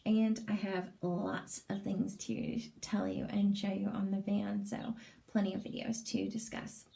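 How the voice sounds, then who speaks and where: A woman with a raspy, strained throat, giving a hesitant, casual vlog update with many pauses and fillers, recorded indoors in a small bathroom. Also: medium pitch, level tone, dark tone. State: lingering cough, strained throat. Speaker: vlogger.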